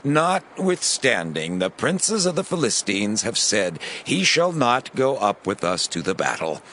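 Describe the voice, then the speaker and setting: A man playing an earnest, conciliatory Philistine king in a dramatized scripture reading, with measured, stately declamation. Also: fairly low pitch, big pitch swings, fairly bright tone, slight background noise, poor audio. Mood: solemn, regretful.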